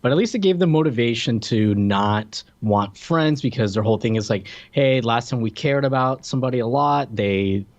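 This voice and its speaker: A man's voice: mid-range voice, expressive, normal speed, few pauses, dull audio. A man co-hosting a podcast, chatting casually about films and chiming in with jokes. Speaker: podcast co-host. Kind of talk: casual movie review chat.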